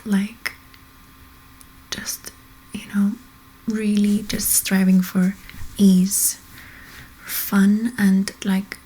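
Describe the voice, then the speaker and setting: A woman speaking in a soft, breathy whisper as an ASMR host, gently and hesitantly guiding listeners to relax. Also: mid-range voice, a little hiss or hum, rough recording. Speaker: ASMR host, energy healer.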